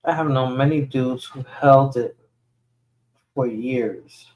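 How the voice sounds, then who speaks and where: A woman's voice: rumbling low, takes it slowly, darkish tone, muffled recording. New York woman chatting on a livestream. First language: Spanish. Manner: rambling, answers viewer comments aloud. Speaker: livestream host. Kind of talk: casual livestream chat.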